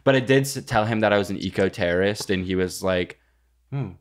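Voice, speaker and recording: A man's voice: lowish voice, fairly quick. A young man co-hosting a casual podcast, with a jokey, exaggerating, sweary way of talking. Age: young adult. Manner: jokey, profane, hyperbolic. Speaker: podcast co-host.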